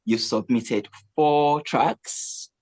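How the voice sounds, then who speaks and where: A man's voice: medium pitch, extremely slow, a little mellow. A man hosting a radio interview, friendly and chatty, with frequent filler phrases. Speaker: radio host.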